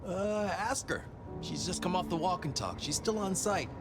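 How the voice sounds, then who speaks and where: An actor playing an easygoing street cop, casual, clipped and matter-of-fact, in a video game. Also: male voice, high voice, lively intonation, normal speed, over music. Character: easygoing male street cop briefing a detective. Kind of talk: video game dialogue acting.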